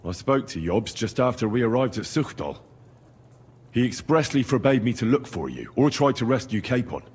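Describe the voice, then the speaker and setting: A man's voice: mid-range voice, big pitch swings, moderate pace, a little mellow, breathy edge, in a large hall. A man playing an earnest, plain-spoken young medieval squire in dramatic video game dialogue. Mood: frank.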